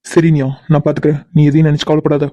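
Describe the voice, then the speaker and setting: A man playing a tender, protective man holding back sadness, speaking gently and reassuringly in an emotional audio drama. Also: fairly high pitch, monotone, rapid-fire, no echo, dull audio, natural voice. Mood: restrained sadness.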